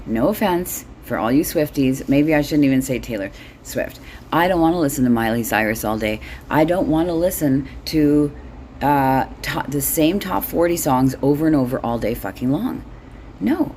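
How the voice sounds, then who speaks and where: A woman's voice: extremely low pitch, a little mellow, a little hiss or hum. A middle-aged woman chatting casually in a comedic monologue, blunt, wry and sweary, as the host of her own show. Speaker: solo host.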